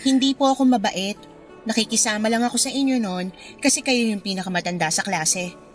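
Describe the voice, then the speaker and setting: A woman's voice: fairly high pitch, brisk, lightly acted. A woman playing a curt, resentful young college student in a radio drama, with cold, blunt politeness. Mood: scornful. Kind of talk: radio drama acting in Filipino. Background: music bed.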